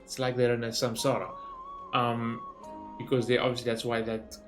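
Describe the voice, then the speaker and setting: A man streaming a game and reacting casually, with a medium-pitched voice. He rambles and thinks aloud as he goes, and soft music plays behind him. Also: monotone, normal speed. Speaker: gaming streamer.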